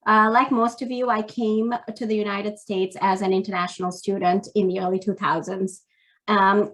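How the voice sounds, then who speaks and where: A woman in her forties from Sri Lanka talks through a video call at an even middle pitch. She speaks candidly and earnestly, with frequent "um"s. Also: low-quality recording. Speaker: panelist. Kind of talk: workshop talk to students.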